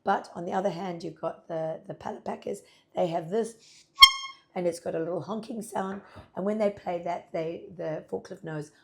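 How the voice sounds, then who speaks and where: A woman, husky and a little croaky from a cold, chatting upbeat through a farm vlog as labour manager. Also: lowish voice, normal speed, mellow. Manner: chatty, upbeat, many ums. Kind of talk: casual informative vlog. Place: pack house office.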